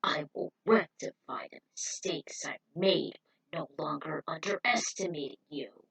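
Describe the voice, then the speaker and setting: Whispering storybook villain, slow and menacing, a woman doing the voice; dry and a bit distant. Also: deep voice, lively intonation, gravelly, fairly bright tone, breathy edge, no room sound, voice pushed down, exaggerated voice. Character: sinister male villain, slow cold menace.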